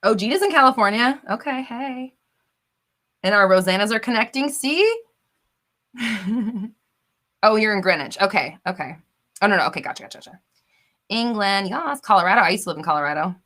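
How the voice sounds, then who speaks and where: Bubbly american woman on a livestream, lively swooping voice, bright tone, laughs a lot. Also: mid-range voice, normal speed, pauses often. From United States. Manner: bubbly, laughs often, reads out viewer comments. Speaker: livestream host. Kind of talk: casual live chat reading comments.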